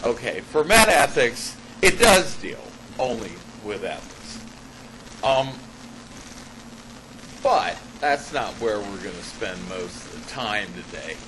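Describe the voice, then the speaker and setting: A man reading an academic paper aloud to a class as a lecturer, halting at times and stressing key phrases. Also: on the low side, sing-song, sharp tone. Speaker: college ethics lecturer and paper author. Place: classroom in front of students. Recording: noisy amateur classroom recording.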